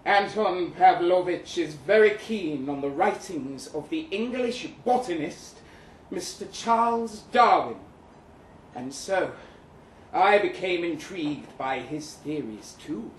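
A man's voice: sits high, animated, takes it slowly. A man performing a live dramatic reading as a bitter, witty crippled young Russian ex-soldier, in a theatrical, florid, wry manner. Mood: wry, musing. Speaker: performer reading a short story aloud. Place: live event venue in London, indoors. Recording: roomy, distant live recording with noise.

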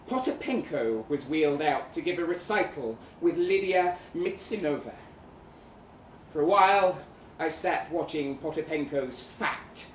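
A man giving an expressive, arch, theatrical reading of a first-person short story at a live event. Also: a little high. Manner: expressive, wry, arch delivery. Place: indoor live reading event before an audience. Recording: echoey live room, audible noise.

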